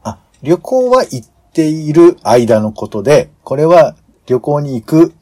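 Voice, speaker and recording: Casting: male co-host, relaxed mellow voice with a husky edge, casual and inquisitive. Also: fairly high pitch, measured pace. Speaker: podcast co-host giving reactions. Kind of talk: casual chat.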